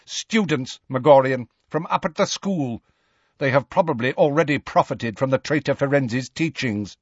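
A man's voice: mid-range voice, moderate pace, slightly rough, dry recording, lo-fi sound, voice pushed up, lightly acted. A man voicing a hostile male centaur in a storybook, formal and scornful with a nasty, contemptuous inflection.

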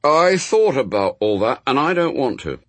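An earnest young boy from a storybook, performed by a male reader, with a raised, highly animated voice. He argues stubbornly but reasonably, in plain childish words that run on and on. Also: normal speed, dry recording. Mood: stubborn, reasonable.

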